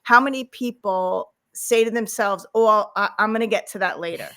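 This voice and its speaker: A woman hosting an interview podcast in a chatty, enthusiastic way. She keeps affirming her guest with quick agreements. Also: medium pitch, pushed and bright. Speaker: podcast host. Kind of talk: conversational interview.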